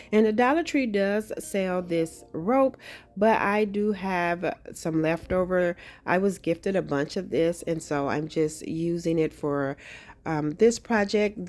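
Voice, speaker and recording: Casting note: a friendly woman with a lowish voice and a slight cold-rasp, relaxed crafting vlog chatter, soft music underneath. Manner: friendly, chatty, frequent ums. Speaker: craft channel host. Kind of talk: casual craft tutorial narration.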